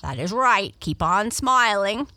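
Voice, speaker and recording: A woman voicing a talking male frog in a children's story, fussy, excitable and given to formal grumbling. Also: mid-range voice, takes it slowly, no echo, exaggerated voice. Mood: encouraging. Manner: formal, complaining, bossy.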